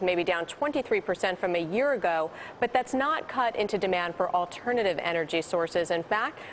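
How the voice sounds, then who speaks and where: Woman tv business anchor, lowish voice, live presenting, bit of background hiss. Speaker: financial news anchor. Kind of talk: live broadcast news presenting and interviewing.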